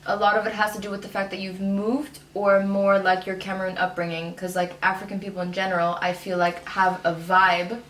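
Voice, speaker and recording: A woman's voice: medium pitch, little pitch movement, brisk, few pauses, a bit bright. Young woman chatting in an echoey room. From born in Dubai, moved around often. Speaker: guest. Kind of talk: casual conversational discussion. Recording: echoey room with some background noise.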